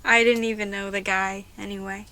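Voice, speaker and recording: A woman's voice: mid-range voice, little pitch movement, a little fast, pushed and bright, lightly acted. A young woman playing a subdued, wounded teenage girl in an audio drama. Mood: hesitant, subdued.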